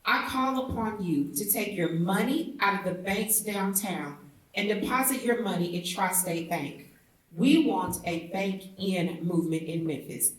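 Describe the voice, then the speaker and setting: A woman reading a historic speech aloud at a public event in an oratorical, declarative manner. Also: medium pitch. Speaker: reader at a commemorative event. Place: indoor theatre event. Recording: echoey room, distant mic.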